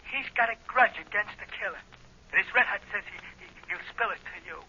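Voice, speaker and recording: An actor playing a stammering small-time crook who talks in street slang, in a 1940s radio drama. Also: male voice, high voice, fairly quick, sharp tone, a little hiss or hum. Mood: sly, eager. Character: nervous small-time reformed crook, an eager tipster. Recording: muffled vintage 1940s radio recording.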